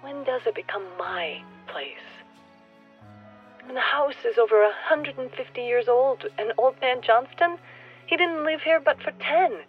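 Musing newcomer, slightly muffled sound. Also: female voice, sits high, animated, measured pace, breathy edge, soft background music, heavily acted. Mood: searching, frustrated. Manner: questioning, musing. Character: reflective newcomer woman, uncertain of belonging, earnest. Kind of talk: radio play monologue.

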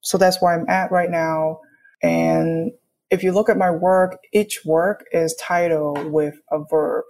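Young woman from hong kong, pretty low voice, artist chatting in an interview, explains stuff and keeps checking in. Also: flat delivery, slow pace, darkish tone. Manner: explanatory, often checks with "right?". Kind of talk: conversational interview, reflective.

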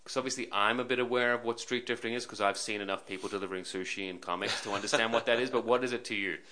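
Fast-talking male podcast host. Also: lowish voice, fairly even intonation, pushed and bright. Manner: long, rambling questions with repeated phrases. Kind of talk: casual interview hosting.

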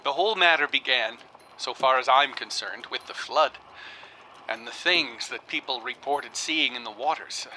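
Earnest academic dictating, very bright forceful voice, insistent, on a faintly noisy vintage cylinder. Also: male voice, medium pitch. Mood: musing, agitated. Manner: halting, musing, insistent academic. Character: earnest, argumentative scholar dictating onto a wax cylinder. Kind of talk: 1920s radio drama dictation.

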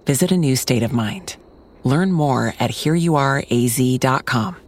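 A man's voice: mid-range voice, unhurried. A man reading a scripted advertising voice-over as an announcer.

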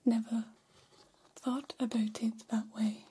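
Lady doing a gentle alien girl for asmr, really breathy whisper, super slow n even, kinda formal. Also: on the high side, exaggerated voice. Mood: gentle, curious. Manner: slow, formal, halting, literal phrasing. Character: gentle, curious female alien fascinated by human hair. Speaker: ASMR performer. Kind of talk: whispered ASMR roleplay, personal attention.

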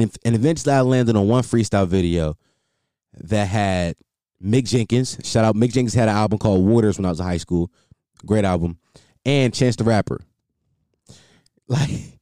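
A man's voice: on the low side, a little mellow, no echo, clean studio sound. A young man rambling casually as a solo podcast host, repeating himself and filling with "like".

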